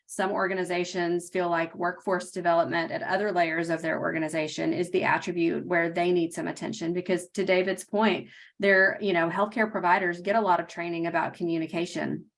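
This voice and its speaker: A woman's voice: lowish voice, level tone, brisk. A woman on a webinar panel, explaining health literacy work in a practical, explanatory way over a video call. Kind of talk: panel discussion.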